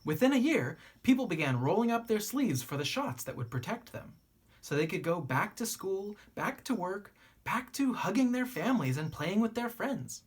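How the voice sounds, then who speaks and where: Expressive, husky woman reading to children. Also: mid-range voice, moderate pace. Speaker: teacher reading a children's book aloud. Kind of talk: expressive read-aloud narration for children.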